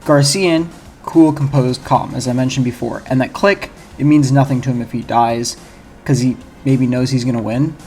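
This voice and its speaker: A man's voice: mid-range voice, moderate pace, a little mellow. A man giving casual, rambling video essay commentary on a video game, speaking informally and correcting himself as he goes. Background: game music.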